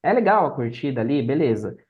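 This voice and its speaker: A man's voice: mid-range voice, sing-song, quick pace, narrow, tinny audio. A Brazilian man guesting on a podcast, casually sharing personal stories and advice, repeating phrases for emphasis.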